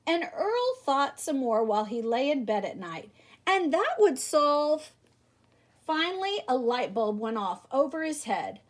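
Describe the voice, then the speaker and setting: A woman reading a children's picture book aloud like a teacher, animated, with theatrical emphasis. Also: a little high, normal speed, hardly any pauses. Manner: animated, theatrical emphasis with exclamations and rhetorical questions. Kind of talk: expressive children's story read-aloud.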